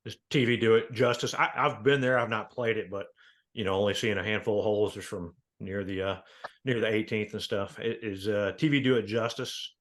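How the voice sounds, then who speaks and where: Male host, slightly low pitch, informal conversational podcast delivery. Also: steady tone, fairly quick, a bit bright. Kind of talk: casual chat.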